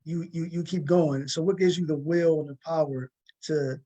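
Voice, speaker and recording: A man with a husky voice hosting a conversational interview, supportive and a little halting as he asks. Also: a little high, steady tone, dark tone. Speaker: talk show host.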